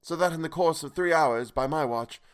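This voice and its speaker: A man voicing a weary older male castaway who speaks from a boat in the dark, with halting, broken pauses. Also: fairly high pitch, clean tone, voice pushed up, natural voice. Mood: solemn.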